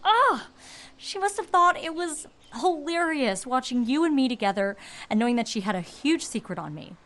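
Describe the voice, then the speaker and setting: A woman's voice: high voice, animated, normal speed, a bit bright. An actress playing a hurt, betrayed woman in an audio drama, bitter and biting in manner. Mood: wounded, sarcastic.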